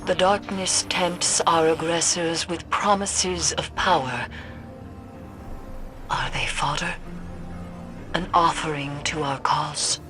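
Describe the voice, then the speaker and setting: A woman's voice: sits low, steady tone, slow pace, pauses often. An actress playing a grave, ominous mystic woman in a video game, speaking in a formal, cryptic, solemn manner. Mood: solemn, scornful. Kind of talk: dramatic video game acting. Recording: music behind the voice.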